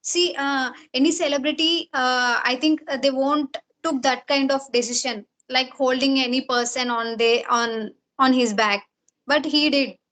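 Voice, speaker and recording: A woman from India with a Telugu accent and Telugu as her native language, chatting casually in halting English with repeated words. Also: high-pitched, steady tone, fairly bright tone. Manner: halting, repeats words while searching for phrasing. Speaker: talk show host in conversation. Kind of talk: casual chat. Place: video call.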